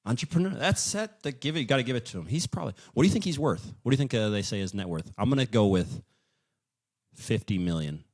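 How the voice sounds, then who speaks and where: A man's voice: mid-range voice, lively intonation, fast, darkish tone. A man hosting a podcast, chatting casually in a joking, self-deprecating, rambling way. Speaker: podcast host.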